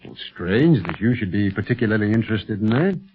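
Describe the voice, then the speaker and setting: An actor playing a polite, measured secret agent and uncle in a 1930s radio serial. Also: male voice, fairly low pitch, expressive, natural voice. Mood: probing. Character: calm, courteous adult secret agent and uncle. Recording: muffled 1930s radio recording.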